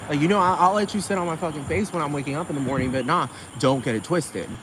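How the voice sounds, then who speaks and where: A man's voice: fairly high pitch, quick pace. A man rants in a rambling, profane vlog and keeps asking whether the listener understands him. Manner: repetitive, keeps asking "you know what I'm talking about". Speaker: vlogger. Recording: noisy with crickets behind.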